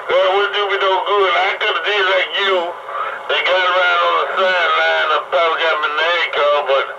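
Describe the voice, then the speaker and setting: A man's voice: high voice, fairly even intonation, fairly quick, gravelly, recorded from a distance. A man chatting casually and at length over CB radio, rambling and repeating himself, heard through the radio's speaker. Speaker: CB radio operator. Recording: muffled, very noisy CB radio reception. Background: loud radio noise.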